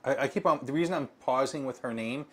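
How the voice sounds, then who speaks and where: A man co-hosts a casual live stream and chats with a mid-range voice. He rambles and keeps restarting his sentences, filling the pauses as he goes. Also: mid-range voice. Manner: chatty, rambling, with frequent fillers and restarts.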